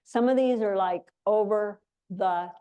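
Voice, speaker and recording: A woman's voice: mid-range voice, unhurried, mellow, smooth. A woman speaking informally to an audience as an association representative, running through announcements. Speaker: association speaker on global programs.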